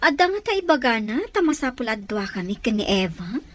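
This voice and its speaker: An actress playing a concerned, questioning and quietly commanding lady of a household in a radio drama. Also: on the high side, sing-song, brisk. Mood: composed, concerned.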